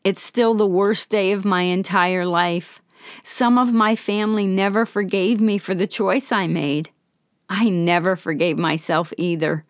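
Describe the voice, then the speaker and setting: A woman voicing the quoted words of an elderly, guilt-ridden, devout woman, in a soft, sorrowful, confiding way. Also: medium pitch, fairly even intonation, measured pace, dry recording, studio quality, close to an everyday voice.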